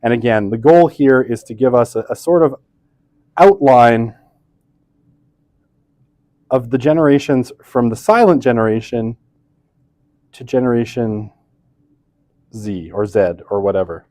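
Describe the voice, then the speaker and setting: A man's voice: mid-range voice, unhurried, pauses often, darkish tone, dry recording, studio quality. A man in his thirties giving a casual university lecture in an old lecture room, talking into a podium microphone that barely picks him up, chatty and self-deprecating, with many fillers. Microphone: podium microphone, weak pickup.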